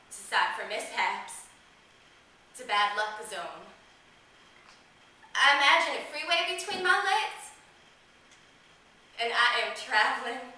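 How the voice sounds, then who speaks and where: Woman acting a high, bright girlish stage monologue. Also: a little hiss or hum, heavily acted. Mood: bleak. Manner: dramatic, emphatic storytelling with drawn-out words and pauses. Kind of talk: theatrical monologue performed on stage. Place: small theatre stage. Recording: echoey theatre, recorded from a distance.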